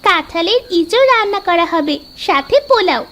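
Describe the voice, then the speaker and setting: A woman's voice: extremely high pitch, expressive, normal speed, fairly bright tone, pitched above the performer's own voice. A woman voicing a cheerful, eager young village girl in a cartoon, with a sing-song delivery. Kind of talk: animated cartoon story acting.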